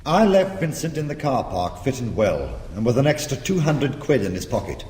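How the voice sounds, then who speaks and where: Male actor, British radio drama, quick-witted defiant criminal, animated intonation, long echoing room. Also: medium pitch, close to an everyday voice. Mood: defiant, arguing. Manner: insistent, sardonic. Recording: echoey, reverberant church-like space.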